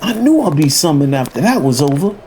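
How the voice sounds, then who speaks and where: A mellow male voice pitched a bit high, narrating his family drama in the first person. His telling is measured and matter-of-fact, over faint background ambience. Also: sing-song, slight background noise. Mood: tense, reflective. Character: grown son narrating tense family troubles.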